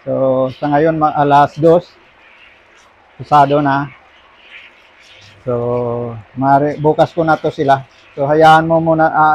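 An older man with a Filipino accent giving casual, halting vlog commentary, mixing in English words. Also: on the high side, a little mellow, low-quality recording. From Philippines. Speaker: fish farmer and vlogger.

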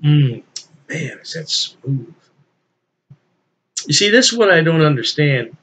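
Older man, higher-pitched voice, emphatic. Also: sing-song, slow pace, fairly bright tone, husky. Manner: enthusiastic, emphatic, repeating phrases for emphasis. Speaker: beer reviewer, channel host. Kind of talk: casual unscripted review chat to camera. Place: small indoor room at home.

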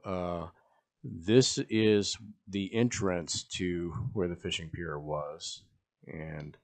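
A man narrating a travel video voice-over, explaining the footage plainly with frequent pauses. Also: sits low. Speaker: travel vlogger.

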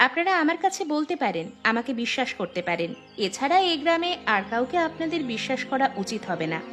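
A woman acts out a young village daughter in a cartoon. She is high-pitched, eager and scheming, pressing her point with emphasis. Music plays clearly behind her. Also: big pitch swings, fast, a bit bright. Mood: eager, persuasive. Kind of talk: Bengali cartoon voice acting.

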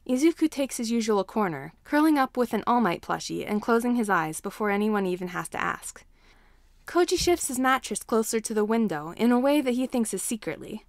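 A woman's voice: on the high side, expressive, fairly quick, no echo, studio quality. A woman narrating a scripted story as a fan fiction reader.

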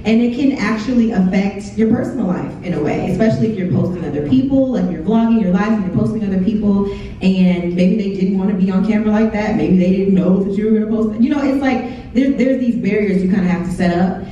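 A woman's voice: mid-range voice, quick pace, mellow, slight background noise. A young woman from Texas on a panel, talking casually and openly about her work into a handheld mic and quoting her own thoughts. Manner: chatty, candid, quotes her own thoughts. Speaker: YouTuber on a panel. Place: large hall, indoors. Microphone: handheld mic, heard from a far camera. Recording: echoey hall from far off, dull.

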